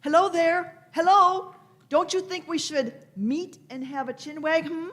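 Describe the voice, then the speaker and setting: A woman's voice: very high-pitched, steady tone, a little slow, a bit bright. Musing, imperious elderly time traveler played by a woman. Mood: lofty. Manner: musing, repeats phrases, old-fashioned endearments. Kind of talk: radio play performed by amateur players.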